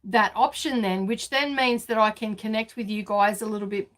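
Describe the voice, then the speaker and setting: A woman's voice: medium pitch, fairly even intonation, normal speed, fairly bright tone. A woman, hosting a casual live sewing chat from her home studio, chatty and laughing often. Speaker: sewing vlog host.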